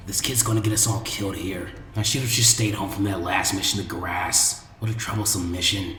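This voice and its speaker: Guy doing a lazy teen ninja tactician, low muttery grumble, fed up, story reading. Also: steady tone, a little fast, bright, forceful tone, reverberant, long reverb tail, soft background music. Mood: grumbling. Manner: low-key, exasperated, muttering. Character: lazy, cautious, grumbling teenage boy ninja strategist. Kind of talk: fan fiction audiobook reading.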